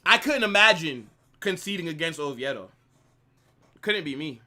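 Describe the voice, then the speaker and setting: A man's voice: fairly high pitch, normal speed. A man hosting a live football watch-along, chatting casually and reacting to his chat. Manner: animated banter, reading chat aloud. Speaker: live stream host.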